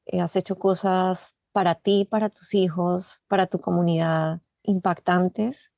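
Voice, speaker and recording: A woman hosting an interview podcast, speaking in a gracious, measured way. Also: on the low side, fairly even intonation, vocal fry, a little mellow, no room sound. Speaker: podcast host.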